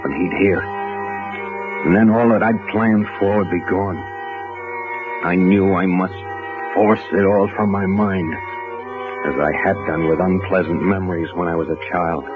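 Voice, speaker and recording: Actor playing a deep-voiced, haunted, nervous ex-convict. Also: male voice, fairly even intonation, close to an everyday voice. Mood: brooding. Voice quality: low-pitched, hesitant voice. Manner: nervous, halting, stammering, brooding. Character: anxious, guilt-haunted ex-convict narrating his obsession. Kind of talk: old-time radio suspense acting. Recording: muffled vintage radio recording with music.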